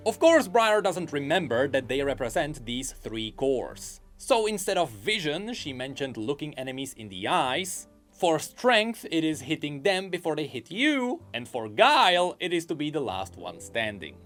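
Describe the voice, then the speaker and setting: A man's voice: a little high, big pitch swings, unhurried, hardly any pauses, fairly bright tone, soft background music. A man narrating a scripted commentary video about game character lore, eager and explanatory.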